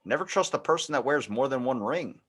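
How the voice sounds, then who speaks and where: A man's voice: medium pitch, expressive, brisk, fairly bright tone, husky. A man hosting a podcast in casual, comedic chat, rambling and slangy as he jokes. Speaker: podcast host.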